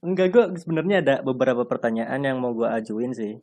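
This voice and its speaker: A young man from Central Java, talking casually and haltingly as a guest podcaster. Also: medium pitch, expressive, darkish tone. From Purbalingga, Central Java. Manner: halting, hesitant, fond of "ya Allah". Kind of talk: casual chat.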